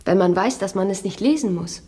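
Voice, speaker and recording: A woman playing a spirited, sharp-tongued, mocking young gentlewoman in a German radio play. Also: medium pitch, a little fast, breathy edge, in a large hall, lightly acted. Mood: teasing. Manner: mocking, playful.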